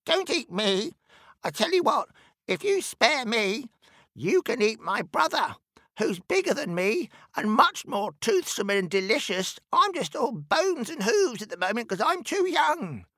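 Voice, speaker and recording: A man's voice: extremely high pitch, sing-song, a little slow, a bit bright, dry recording, studio quality, voice pushed up, heavily acted. A man voicing a small, nervous young billy goat who bleats and pleads in a storybook. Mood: pleading.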